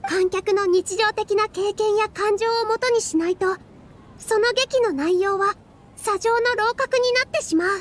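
An actress playing an earnest, soft-spoken young woman dancer who argues politely and in measured phrases in an anime game. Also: very high voice.

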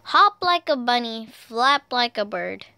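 A woman reading picture books aloud for toddlers in a sing-song, deliberate, child-directed way. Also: a little high, lively intonation, creaky, pushed and bright, clear, resonant tone, no room sound. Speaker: storyteller, video host.

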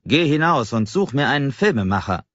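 A man's voice: medium pitch, big pitch swings, a little fast, a bit bright, no room sound, muffled recording, pitched above the performer's own voice. A man narrating an audiobook and voicing a playful young male entrepreneur with a boyish, theatrical, mock-pompous manner. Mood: mock-grand.

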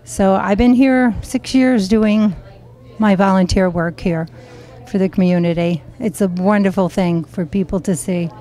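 Elderly American woman, mid-pitched voice. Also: unhurried, dark tone, faint music behind. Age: 70s. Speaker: volunteer and amateur photographer. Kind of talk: unscripted interview talk. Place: indoor exhibit hall. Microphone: handheld microphone.

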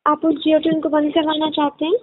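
A woman's voice: sits high, monotone, fairly quick. A woman working as a helpline agent, speaking in formal, polite, repetitive customer-service phrases over a phone line. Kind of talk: scripted customer service talk. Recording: tinny narrowband phone line.

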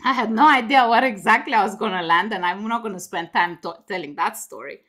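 A woman's voice: medium pitch, a little fast, sharp tone. A woman, a guest on a video call, talking animatedly with lots of laughter and "you know". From Romania. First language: Romanian. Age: 50s. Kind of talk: casual video call interview. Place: home room with bookshelves.

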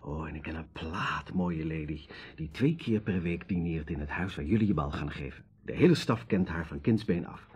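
An actor playing a polite, thoughtful young tutor in a Dutch radio drama. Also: male voice, rumbling low, moderate pace, a little mellow, husky, muffled recording, exaggerated voice. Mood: earnest.

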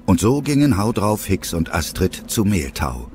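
Male narrator, radio play for families, lower register, lively delivery. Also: measured pace, faint music behind. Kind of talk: audio drama scripted narration.

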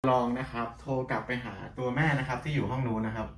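Man, demonstration assistant, quick speech, polite and conversational, echoey room. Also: medium pitch, fairly even intonation, a bit bright. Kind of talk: hands-on product demonstration. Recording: echoey room, faint background noise.

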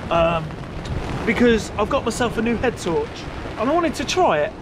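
A man's voice: sits high, very animated, normal speed. A man chatting casually and hesitantly to camera as a fishing vlogger. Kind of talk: casual vlog chat to camera. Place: outdoors on a stormy beach under an umbrella. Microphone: camera at arm's length. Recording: clear rain and weather noise outdoors. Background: rain.

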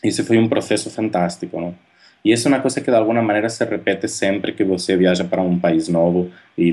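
A man in his thirties from Spain with a Spanish accent, talking thoughtfully to a webcam about his art in a halting way, with many fillers and restarts. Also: low-pitched, darkish tone. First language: Spanish or Catalan. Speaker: artist. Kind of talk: reflective monologue to camera. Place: indoor room at home. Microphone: webcam at close range.

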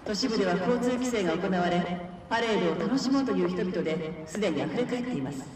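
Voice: medium pitch, lively intonation, fast talker, close to an everyday voice. A performer playing a composed, formal television news announcer reading a scripted broadcast in a video game. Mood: formal, upbeat. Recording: very echoey hall, distant, music behind.

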